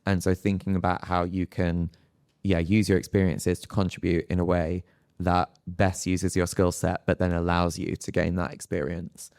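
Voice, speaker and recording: A man speaking off the cuff on a discussion panel in a reflective, halting way. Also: deep voice, monotone, darkish tone, no room sound, studio quality.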